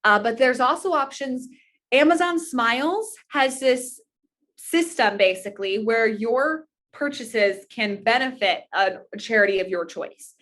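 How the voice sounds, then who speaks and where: A woman's voice: a little high, animated, unhurried, fairly bright tone. A woman chatting casually as a guest on an interview show, talking about charity work and favorite things. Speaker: podcast guest, charity advocate.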